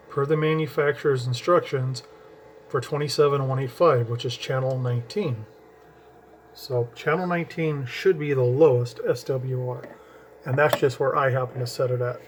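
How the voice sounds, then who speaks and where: A man's voice: medium pitch, normal speed, slight background noise. A man explaining radio setup in a casual, rambling how-to video while handling gear. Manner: rambling, hands-on explaining with restarts. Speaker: CB radio hobbyist. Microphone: camera mic, handling gear while talking.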